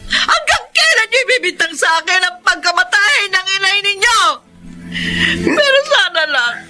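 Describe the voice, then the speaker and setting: A bright, swooping mother's voice over music in a radio drama. She is anguished and protective, pleading with raw emotion. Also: very high voice, big pitch swings, moderate pace, full character voice. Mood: anguished, reproachful. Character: anguished, protective mother, a long-wronged wife.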